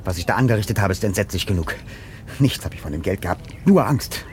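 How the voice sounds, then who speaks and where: A man's voice: fairly low pitch, lively intonation, quick pace, lightly acted. An actor playing an anxious, guilt-ridden man cornered over his secret theft, nervous and defensive, in a vintage German radio crime drama. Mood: agitated, anguished. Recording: noisy old radio drama recording.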